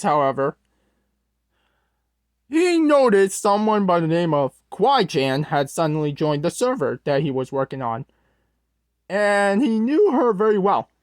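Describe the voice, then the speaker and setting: Someone doing an awkward flustered storyteller guy, halting, pitch all over the place, dry close sound. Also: no room sound. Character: Strong-Arm, awkward, scatterbrained storyteller host character. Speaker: storyteller host. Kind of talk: reading a scripted creepypasta story aloud.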